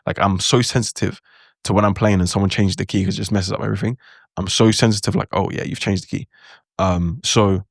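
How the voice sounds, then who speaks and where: Young guy rambling on camera, lowish voice, super dry close mic, acts out his reactions. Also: no echo. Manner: chatty, animated, acts out his own reactions. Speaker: language learning creator. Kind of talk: casual talking-head advice.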